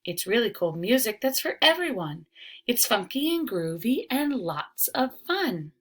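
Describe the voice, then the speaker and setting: A woman's voice: fairly high pitch, big pitch swings, a little slow, a bit bright, full character voice. A woman voicing an encouraging, upbeat male older cousin who reassures a child in rhyming storybook lines. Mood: enthusiastic.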